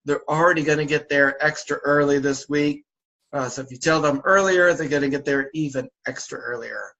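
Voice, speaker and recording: A man with a slightly raised pitch, speaking over a video call as he walks volunteer coaches through league details. He sounds a little sniffly from a sore throat. His delivery is loose and instructive, full of "uh"s and repeated "again"s. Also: normal speed, highs cut off. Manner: repetitive, relaxed and instructive, many fillers. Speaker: youth basketball league organizer. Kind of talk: coaches' orientation briefing.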